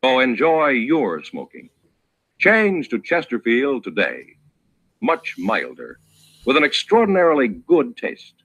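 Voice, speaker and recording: A male announcer from old-time radio delivers a sales pitch. His mid-range voice is very animated, with polished, emphatic phrasing in the 1950s broadcast style. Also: measured pace, slightly rough, low-quality recording.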